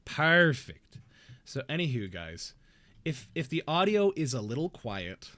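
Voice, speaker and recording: A man's voice: on the high side, very animated, a little slow, fairly bright tone. A young man hosting a casual livestream, rambling and self-deprecating in an upbeat way. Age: young adult. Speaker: stream host.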